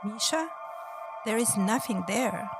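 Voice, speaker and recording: A woman's voice: a little high, lively intonation, takes it slowly. A woman playing an anxious, urgent woman on the run with a wounded companion in an audio drama. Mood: dismayed. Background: loud music.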